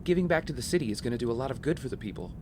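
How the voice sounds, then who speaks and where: An actor playing a wealthy young heir, polite, smooth-talking and guarded, in audio drama dialogue. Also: male voice, medium pitch, very animated, rapid-fire, background noise, close to an everyday voice. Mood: earnest, persuasive.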